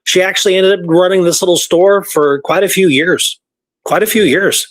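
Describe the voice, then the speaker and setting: Higher-voiced man talking through local history. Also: moderate pace, no room sound, poor audio. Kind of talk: casual history talk retelling research from notes.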